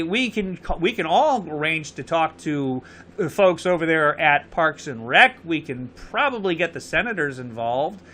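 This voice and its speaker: A man's voice: fairly high pitch, sharp tone. A man with a Connecticut accent hosting a live call-in show, urging viewers to phone in and repeating the number. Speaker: show host.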